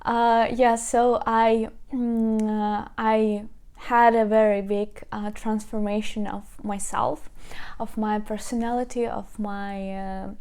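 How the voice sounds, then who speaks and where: Young female teacher, Ukrainian first language, earnest and halting, slow, clean crisp tone. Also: fairly high pitch, little pitch movement, a little mellow. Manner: earnest, hesitant with many fillers and restarts. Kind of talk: personal talk to camera.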